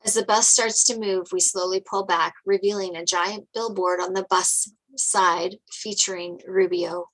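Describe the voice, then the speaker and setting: A woman's voice: medium pitch, fairly even intonation, measured pace, few pauses, a bit bright, poor audio. A woman reading stage directions as scripted narration at a table read.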